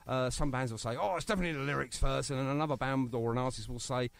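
A man's voice: medium pitch, racing, vocal fry. A man hosting a casual radio interview, chatty and jokey. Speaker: radio host. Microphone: phone line to guest.

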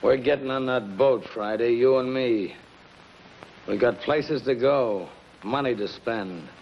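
Casting: man playing middle-aged gangster fugitive, possessive and demanding, mid-pitched, clipped intensity, muffled old TV soundtrack. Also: unhurried, lightly acted. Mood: menacing. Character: hard, possessive middle-aged killer on the run. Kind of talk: 1950s television crime melodrama acting. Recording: muffled, noisy 1950s television soundtrack.

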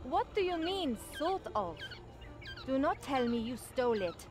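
A woman's voice: high voice, big pitch swings, a little slow, music in the background. A woman playing a proud, easily exasperated young desert princess in a video game, with formal, scolding phrasing.

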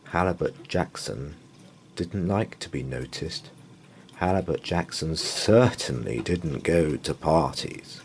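Man, bedtime storyteller, very animated pitch, unhurried, dramatic pauses, noticeable background noise. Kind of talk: read-aloud children's bedtime story. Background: open fire ambience.

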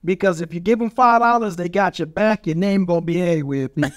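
A middle-aged man with a New York accent holds forth in casual podcast talk. His voice sits high for a man. He is brash and boastful, full of street slang and bawdy storytelling. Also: brisk, dry recording, clean studio sound. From Brooklyn, New York. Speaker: guest, street graffiti artist. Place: small studio with foam panels. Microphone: studio mic on a boom arm.

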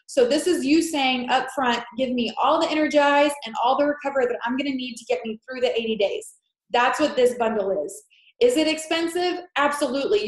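Congested young woman on a video call webinar, chatty coach, kinda high voice, roomy echo. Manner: chatty, filler-heavy, reassuring. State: stuffy nose from a head cold. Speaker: fitness coach presenting a webinar. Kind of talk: casual sales presentation. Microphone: laptop mic on a video call. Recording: echoey room on a video call.

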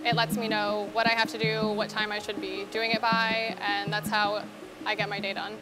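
A woman's voice: medium pitch, completely flat delivery, moderate pace. Young woman farmer chatting casually. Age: young adult. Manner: friendly, chatty, laughs easily. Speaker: vertical farmer. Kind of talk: casual interview talk. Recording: interview with background music.

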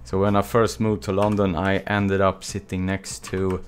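A man's voice: deep voice, moderate pace. A Swedish man in his thirties with a Swedish accent, casually chatting with viewers as he streams a texturing tutorial from a small home studio. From Sweden. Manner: relaxed, chatty, answers viewer chat between long quiet stretches. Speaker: live stream texturing instructor. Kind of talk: casual live stream chat while working. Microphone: desk mic with webcam.

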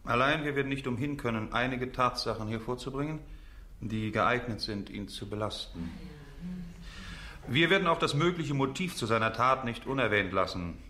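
Crown prosecutor, radio drama: forceful bright tone, pompous oratory, faint background noise. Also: male voice, mid-range voice, expressive, moderate pace. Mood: solemn. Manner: formal, oratorical.

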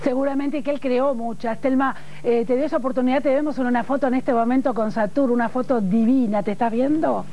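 A woman's voice: sits high, steady tone, a little fast, hardly any pauses, muffled recording. A middle-aged woman with an Argentine Rioplatense accent, hosting a conversational live studio interview on TV. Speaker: TV host. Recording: noisy old TV broadcast archive.

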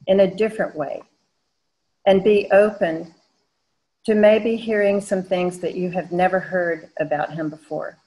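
Older Southern woman, unhurried lecturer on a webcam, slightly low voice, many small pauses. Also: poor audio. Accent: Southern American, Georgia. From Georgia, USA. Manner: slow, measured, with frequent "um" pauses. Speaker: artist giving a lecture. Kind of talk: online lecture. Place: home studio wall hung with paintings, indoors. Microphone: video call webcam.

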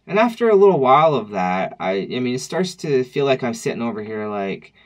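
A man in his late thirties muses to his webcam from a dim room at home. His talk is wry and reflective, circling back on itself as he thinks out loud, in a middle-pitched everyday voice. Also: very animated, a little fast. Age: 30s. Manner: reflective, wry, conversational with frequent restarts. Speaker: vlogger. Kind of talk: personal vlog monologue.

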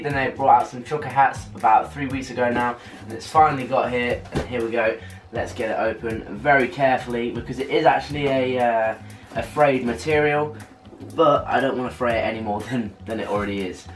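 A man in his twenties with a British accent chats casually and haltingly to camera as a vlogger, trailing off at the ends of phrases. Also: medium pitch, soft background music. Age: 20s. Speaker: vlogger unboxing a purchase. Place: small bedroom, later a bathroom. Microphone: camera a couple of metres away.

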